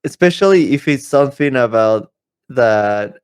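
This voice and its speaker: A man's voice: medium pitch, expressive, a little slow, clear, resonant tone. A man chatting casually as a podcast co-host. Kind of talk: casual chat.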